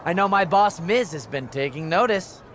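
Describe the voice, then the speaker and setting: A man's voice: sits high, animated, normal speed, a bit bright, slight background noise. A man playing an eager, chipper wrestling show producer in a video game, emphatic and keen to please. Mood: upbeat. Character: eager, chirpy male TV wrestling producer, upbeat company man.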